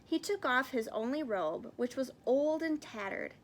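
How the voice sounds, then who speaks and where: A woman reading a children's picture book aloud as an expressive storyteller. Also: high-pitched, a little slow, a little hiss or hum. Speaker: storybook reader.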